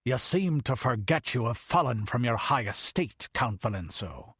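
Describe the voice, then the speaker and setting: A man's voice: medium pitch, expressive, moderate pace, a bit bright, breathy edge, no room sound, studio quality. A man as a growling, ruthless buccaneer. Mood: menacing. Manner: growling, blunt. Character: ruthless blunt buccaneer captain, menacing man.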